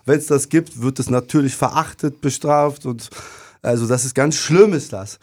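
A man's voice: mid-range voice, normal speed, a little mellow, dry recording, studio quality. A man born in Germany, talking emphatically in a casual discussion. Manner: emphatic, laughs while ranting.

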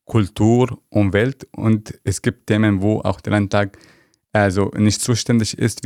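A man's voice: fairly low pitch, vocal fry, a little mellow, no echo, studio quality. A man answering interview questions as a civic education officer, explaining step by step with lots of examples. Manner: explaining step by step, many "also" and "zum Beispiel".

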